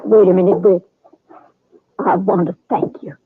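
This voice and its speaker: An actress playing a shrewd, plain-spoken old frontier widow with an American accent in an old-time radio western. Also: female voice, medium pitch, flat delivery, measured pace, a little hiss or hum, close to an everyday voice. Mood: wry, grateful. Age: older. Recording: muffled old radio recording.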